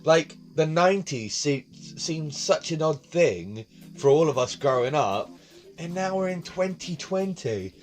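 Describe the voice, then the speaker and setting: A young man talks to his phone camera in his bedroom, hosting his own vlog. His voice sits a bit high, and he rambles and repeats himself in a casual way. Faint music plays behind him. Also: lively intonation, measured pace. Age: 20s. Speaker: vlog host. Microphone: phone camera on tripod.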